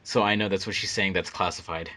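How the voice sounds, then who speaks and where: A man's voice: low voice, fairly even intonation, fast talker, bright, forceful tone. A man who edited a fan dub, chatting casually and joking about syncing mouths. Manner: laughs often, joking. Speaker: fandub video editor. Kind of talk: casual group commentary.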